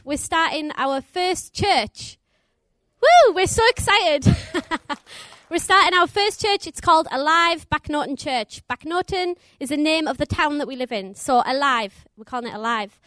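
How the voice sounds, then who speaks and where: A woman's voice: high-pitched, lively intonation, unhurried, a bit bright, no room sound. A young woman preaching as a missionary. She speaks English with a put-on American twang, chatty and upbeat, laughing often. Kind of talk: sermon. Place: church.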